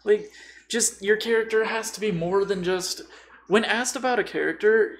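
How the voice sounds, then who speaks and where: A man's voice: sits high, normal speed, a little hiss or hum. A man chatting casually and bluntly about tabletop role-playing, with strong opinions, swearing and slang. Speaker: podcast guest.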